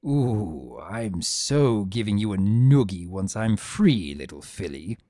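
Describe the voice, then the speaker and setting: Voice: low voice, very animated, takes it slowly, warm tone, no room sound, studio quality, exaggerated voice. A performer playing an imprisoned chaos spirit, telling the story in the first person in a wry, theatrical way. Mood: mischievous.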